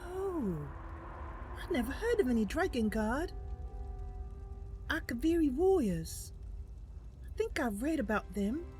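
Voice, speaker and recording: A woman's voice: high voice, sing-song, measured pace, long pauses, darkish tone, faint music behind. A woman voicing a timid, earnest young female wood elf who wants to be a knight, in a hesitant, polite roleplay game voice. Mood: hesitant, curious.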